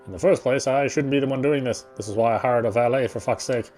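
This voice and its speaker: A man reading first-person story narration aloud in a theatrical, fussy gentleman's manner. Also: medium pitch, quick pace, faint music behind. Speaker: game player reading aloud.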